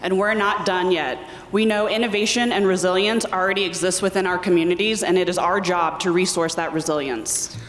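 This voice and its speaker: A woman's voice: fairly low pitch, a little fast, hardly any pauses. A woman giving an earnest civic presentation and listing what was heard. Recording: roomy hall reverb, faint noise.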